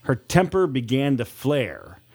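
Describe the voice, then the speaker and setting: A man's voice: mid-range voice, very animated, measured pace, a little mellow, breathy edge. A man reading a scripted audiobook story as its author and narrator.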